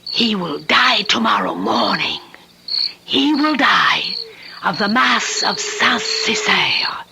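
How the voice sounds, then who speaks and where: An actress playing a sinister, commanding peasant woman in a radio drama, speaking in a hushed, deliberate, dramatic manner. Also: medium pitch, sing-song, vocal fry, full character voice. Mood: sinister, insistent. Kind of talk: old-time radio drama acting. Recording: noisy old radio drama recording.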